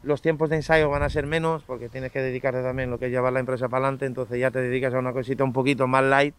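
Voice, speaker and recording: A man's voice: mid-range voice, little pitch movement, rapid-fire, clean tone. A man in his thirties from Portugal, a circus clown, chatting in an interview with some hesitant starts. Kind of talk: casual interview answers.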